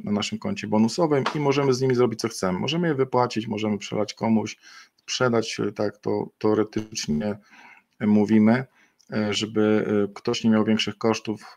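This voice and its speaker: Low-voiced man presenting a webinar. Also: a little mellow. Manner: unscripted, many fillers and self-corrections. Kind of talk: explaining a partner program over slides.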